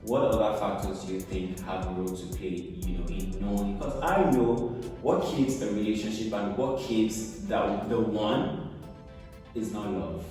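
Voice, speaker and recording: Man, host, low voice, teasing, echoey hall, soft music behind. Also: a little slow. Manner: teasing, laughs often, fillers. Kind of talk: casual interview chat. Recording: roomy reverb, distant mic, music behind.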